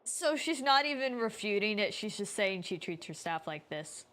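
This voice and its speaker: A woman's voice: mid-range voice, sing-song, moderate pace, fairly bright tone. A woman game streamer giving casual, chatty commentary and thinking aloud as she plays.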